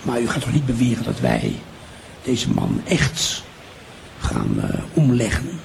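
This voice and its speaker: A man's voice: mid-range voice, huge pitch swings, unhurried, gravelly, a little hiss or hum, full character voice. An actor playing a mischievous elderly father who rambles, repeats himself and hesitates, in an improvised radio drama. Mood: hesitant, curious.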